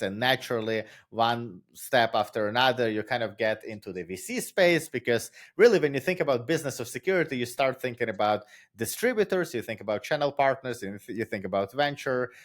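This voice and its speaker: A man's voice: medium pitch, expressive, brisk, hardly any pauses. Thoughtful man with a Ukrainian accent. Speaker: guest, author and startup founder. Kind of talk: casual podcast interview.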